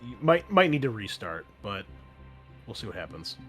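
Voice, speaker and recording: A man's voice: fairly low pitch, sing-song, moderate pace, a bit bright. Male game master with music underneath. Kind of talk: narrating a tabletop game, casual.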